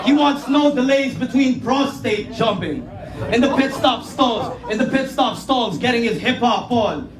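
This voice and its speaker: A man's voice: very high voice, animated, unhurried, far from the mic. A man from the Philippines spitting an aggressive, insulting battle rap verse at a live event. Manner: aggressive, insulting, rhythmic delivery. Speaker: battle rapper. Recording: echoey hall with loud crowd.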